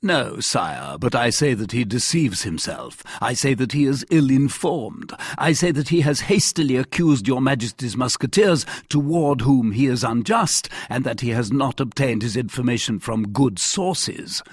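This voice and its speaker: A man voicing a calm, courteous, shrewd musketeer captain in an audiobook, measured and quietly firm. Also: mid-range voice, breathy edge, dry recording, studio quality, voice pushed up. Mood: measured insistence. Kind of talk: dramatised audiobook character voice.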